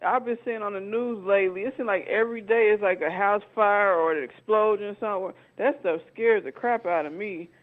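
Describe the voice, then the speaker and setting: A man calling in by phone to a live stream, chatting with warm teasing and drawn-out signoffs. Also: high-pitched. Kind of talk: casual chat. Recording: tinny phone line.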